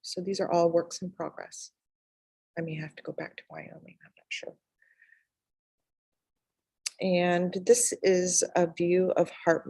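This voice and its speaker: A middle-aged woman presents her own work as a guest artist. Her voice is very low with a lot of vocal fry, and she speaks in a monotone, pausing tentatively. The sound is dry and close, as on an indoor video call. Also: no room sound. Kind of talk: slide lecture about own work. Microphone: video call microphone.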